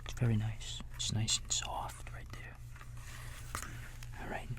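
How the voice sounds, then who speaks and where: A man whispering an ASMR bedtime story as a babysitter, soothing and casual, with rambling asides to a child listener. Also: takes it slowly. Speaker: ASMR roleplayer playing a babysitter.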